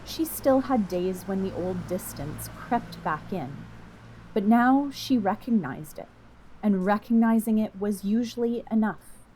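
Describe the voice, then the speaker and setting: A woman reading a scripted literary story as narrator, in a measured, reflective manner. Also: medium pitch, mellow, a little hiss or hum, low-quality recording.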